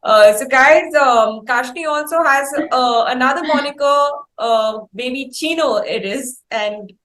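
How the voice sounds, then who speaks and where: A woman's voice: sits high, unhurried, fairly bright tone, low-quality recording. A woman with an Indian accent hosting an interview in a courteous, wordy and somewhat hesitant conversational way. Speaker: interview host.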